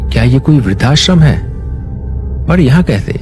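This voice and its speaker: A man's voice: medium pitch, expressive, moderate pace, pitched above the performer's own voice, natural voice. A man voicing a worried young male night watchman who asks eager, questioning things in a raised tone, in a horror storybook cartoon. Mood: curious. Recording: narration over loud music. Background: music.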